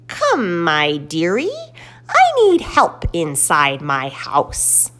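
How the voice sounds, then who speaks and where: A woman voicing a kooky, kindhearted old witch from a storybook, with a theatrical, drawn-out delivery. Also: on the low side, wildly swooping pitch, fairly bright tone, heavily acted. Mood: beckoning. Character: kooky cackling old witch, kindhearted pumpkin pie baker.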